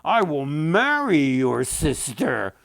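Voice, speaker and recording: A man voicing a stern, commanding folktale king who makes emphatic, deliberate pronouncements. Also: on the high side, big pitch swings, vocal fry, a bit bright, no room sound, studio quality, exaggerated voice.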